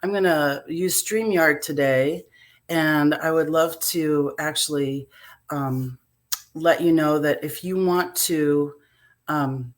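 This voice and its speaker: A woman's voice: low voice, steady tone, slow. A woman hosts a healing live stream from a home video call, talking in a chatty way with many fillers. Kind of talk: casual live-stream talk.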